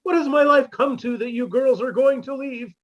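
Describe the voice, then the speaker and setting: A man playing a melodramatic, lovelorn, self-pitying maestro with a husky voice in a 1950s-style radio comedy. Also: extremely high pitch, normal speed, highs cut off. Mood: plaintive. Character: melodramatic, lovelorn, down-at-heel male maestro, mournfully comic.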